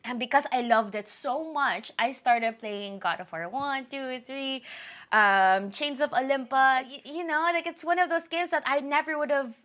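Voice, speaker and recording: Bright-voiced woman chatting to camera. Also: high voice, moderate pace. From Philippines. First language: Tagalog. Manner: chatty, apologetic, rambling with self-corrections. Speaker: YouTuber giving a channel update. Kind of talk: casual chat to camera.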